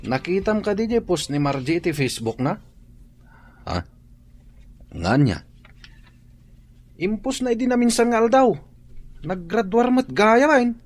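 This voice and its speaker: A talkative, nagging buddy in an Ilocano radio drama. He is blunt and teasing, with very lively intonation and an Ilocano accent. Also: high-pitched, a little slow, pauses often, faint music behind, heavily acted. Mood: gossipy.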